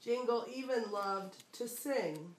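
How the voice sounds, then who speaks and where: A woman's voice: a little high, takes it slowly. A woman talking casually and giving instructions, prompting a young reader line by line. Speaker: adult coaching a child reader. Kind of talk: casual family talk and prompting. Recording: echoey room, faint background noise.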